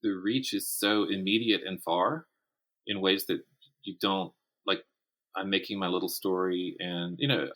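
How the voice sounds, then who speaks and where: Guy with a low but bright voice, chatting casually on a podcast, kinda stop-start when he tells stories. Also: fairly even intonation, a little slow. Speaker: podcast guest, writer and writing teacher. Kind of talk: casual interview chat.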